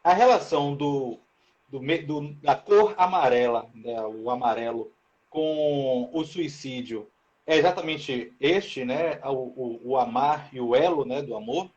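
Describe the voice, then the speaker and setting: A man's voice: mid-range voice, unhurried, a little mellow. A man from Salvador with a Bahian accent, hosting a live-stream talk and asking chatty questions with frequent "né" tags. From Salvador, Bahia, Brazil. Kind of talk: casual live-stream interview. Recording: dull lo-fi live stream audio.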